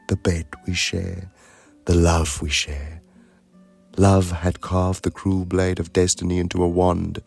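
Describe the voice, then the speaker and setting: A man voicing an awestruck, yearning dragon in a measured, reflective, dramatic reading of poetic prose with deliberate pauses. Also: deep voice, a little mellow, heavily acted. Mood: tender. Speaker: voice-over reader.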